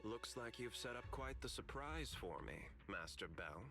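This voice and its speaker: An actor playing a shrewd, calculating company man in a video game, speaking in a smooth, formal, measured and faintly theatrical way. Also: mid-range voice, animated, music in the background, close to an everyday voice. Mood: feigned surprise. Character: shrewd, calculating corporate man, politely self-serving.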